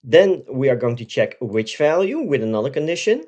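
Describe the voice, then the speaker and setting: A man in his forties presenting a software tutorial step by step, often checking in as he explains. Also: medium pitch, very animated, normal speed, highs cut off. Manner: explanatory, step by step, with frequent "right?" check-ins.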